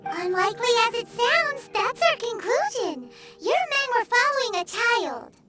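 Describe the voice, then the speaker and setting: Video game sidekick: little excitable female creature, extremely high voice, animated, eager. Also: unhurried, distant mic, full character voice. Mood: earnest, uneasy. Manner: exclaiming, eager. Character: small, childlike, excitable female flying companion creature. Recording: loud background music under the voice.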